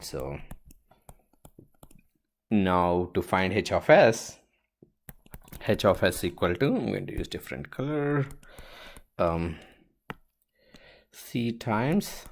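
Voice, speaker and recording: A man teaching a step-by-step worked example as an instructor, halting and thinking aloud as he writes. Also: low voice. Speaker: engineering instructor.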